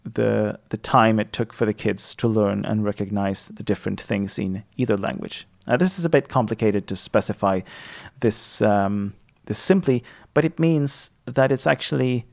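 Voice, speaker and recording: A man's voice: on the low side, unhurried, creaky. A man in his thirties from Sweden with a Swedish accent, speaking English as a second language in a hesitant casual monologue full of fillers and restarts. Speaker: linguistics student.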